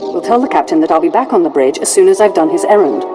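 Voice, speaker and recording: A brisk, dutiful female lieutenant from a video game, voiced by an actress. Her voice sits fairly low, with formal, clipped phrasing, over prominent music. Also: animated, close to an everyday voice. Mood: courteous, formal. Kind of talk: video game character acting.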